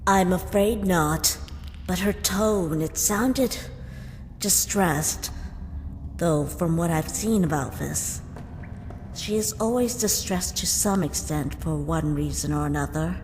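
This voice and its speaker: A woman's voice: lowish voice, a little mellow, big-room sound, a little hiss or hum. A woman voicing a courteous, composed motherly monster in a fan comic dub, speaking formally. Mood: thoughtful. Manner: formal, measured.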